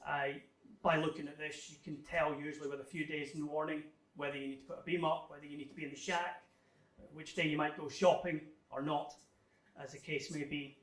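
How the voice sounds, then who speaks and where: A man's voice: on the high side, flat delivery, brisk, a bit bright. A man giving an informal technical slide presentation to a club, explaining things in a conversational, somewhat hesitant way. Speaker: amateur radio club guest speaker. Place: indoor room, club talk to an audience. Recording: echoey room, distant microphone.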